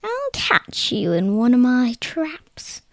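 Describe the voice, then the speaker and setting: A woman voicing a grumpy, angry male troll who shouts threats in a bedtime story. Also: fairly high pitch, huge pitch swings, slow pace, dry recording, full character voice. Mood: threatening.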